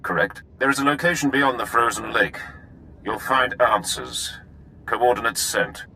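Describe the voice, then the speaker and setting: A man's voice: medium pitch, unhurried, bright, forceful tone, a little hiss or hum, rough recording. A man playing a terse, cool military sergeant in a video game.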